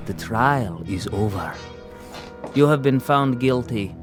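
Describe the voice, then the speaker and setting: An actor playing a solemn, relentless ghostly caretaker passing judgment in a radio drama, measured, stern and formal. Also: male voice, medium pitch, expressive, over music. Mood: cold, ominous.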